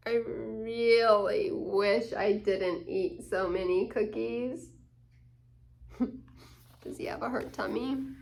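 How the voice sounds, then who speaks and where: Female story time host, unhurried and expressive, slightly high voice, simple teaching tone for young kids. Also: a little hiss or hum. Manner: slow, deliberate, acting out quoted lines. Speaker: story time host reading a picture book. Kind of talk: expressive children's read-aloud with asides to listeners.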